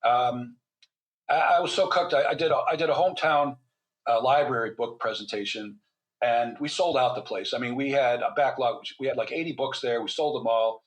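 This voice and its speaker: A man's voice: medium pitch, normal speed, rough recording. An older man speaking as an interview guest, telling anecdotes in a rambling, conversational way. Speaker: guest author and physician.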